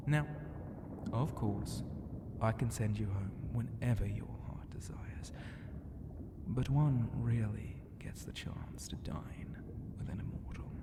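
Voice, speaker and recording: A man voicing a flirtatious, regal sea dragon ocean god in an ASMR roleplay, teasing and grandly courteous, often dropping into a breathy whisper. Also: lowish voice. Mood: courteous, coaxing. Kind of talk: ASMR roleplay addressed to the listener. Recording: long cavernous reverb, clear ambient noise.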